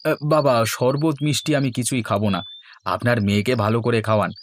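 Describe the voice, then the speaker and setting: A man's voice: medium pitch, steady tone, a little fast, a little hiss or hum, close to an everyday voice. A man playing an eager, polite young son-in-law in a cartoon folk tale. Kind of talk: Bengali animated folk-tale dialogue.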